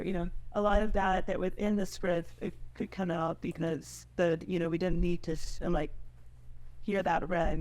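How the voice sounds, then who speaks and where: A woman's voice: medium pitch, darkish tone, poor audio. A woman speaking as a screenwriter in a casual Q&A, chatty and loose in her phrasing, often adding "you know" and "like". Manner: chatty, loosely phrased with frequent 'you know' and 'like'. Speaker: screenwriter answering questions. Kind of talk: casual spoken Q&A.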